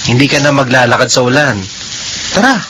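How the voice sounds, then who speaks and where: An actor playing a friendly, teasing suitor in a radio drama. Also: male voice, mid-range voice, animated, brisk, pushed and bright, low-quality recording. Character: charming male suitor, teasing and kind. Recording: hissy radio drama recording.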